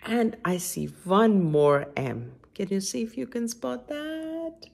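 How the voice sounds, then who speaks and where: Middle aged lady doing storytime for little kids, super animated, bit of creaky fry, asks them stuff. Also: mid-range voice, slow. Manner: expressive, questioning, addressing children directly. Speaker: children's story time host. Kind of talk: read-aloud picture book storytime for young children. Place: indoor room at home by a window.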